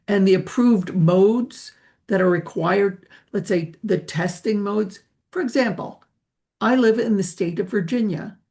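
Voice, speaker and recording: A woman's voice: mid-range voice, gravelly, a little mellow. A woman giving a webinar over a video call, patiently explaining testing procedures and answering questions. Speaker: language testing presenter.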